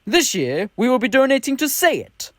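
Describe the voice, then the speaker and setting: A woman's voice: high-pitched, big pitch swings, normal speed, fairly bright tone, no echo. A woman announcer reading a scripted promotional message.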